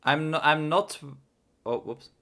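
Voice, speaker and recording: A man's voice: on the high side, a little slow, pushed and bright. A young man with a worn, tiring voice streaming his programming, muttering to himself and trailing off between thoughts. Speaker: livestreaming programmer. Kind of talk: casual live coding stream.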